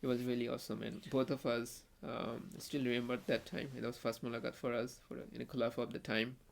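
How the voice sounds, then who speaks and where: A young man from Bangladesh with a Bangladeshi accent, a native Bengali speaker, telling a story as a podcast guest in a halting way, full of restarts and fillers. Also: mid-range voice, little pitch movement, gravelly, a little hiss or hum. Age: young adult. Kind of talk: casual conversational storytelling.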